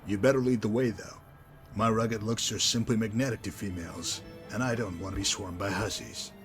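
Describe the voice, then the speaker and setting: Guy doing a deep-ish wry game villain, mockingly polite smooth talker, kinda menacing, music playing behind him. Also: steady tone, a little fast, close to an everyday voice. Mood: wry, sardonic. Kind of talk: scripted video game dialogue.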